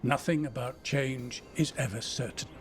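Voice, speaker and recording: An actor playing a wise, open-minded older elf uncle who loves nature, in a video game. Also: male voice, mid-range voice, moderate pace, faint music behind, close to an everyday voice. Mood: wise, teasing.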